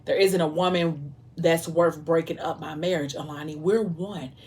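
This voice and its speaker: Devoted husband, played by a woman storyteller: low, hushed, earnest, calm and firm, romance drama. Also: moderate pace, natural voice.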